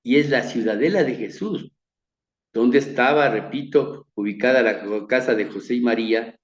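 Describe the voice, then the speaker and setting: A man from Ecuador with a Quito accent gives a measured, earnest Bible lecture over pictures, often repeating words and letting his phrases fade softly. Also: medium pitch, reverberant, muffled recording. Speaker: Bible teacher. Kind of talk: slow sermon-like slideshow lecture.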